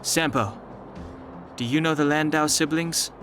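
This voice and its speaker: A man's voice: a little high, unhurried, over music, natural voice. An actor voicing a cool, serious and terse young man in a video game. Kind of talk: anime-style game voice acting.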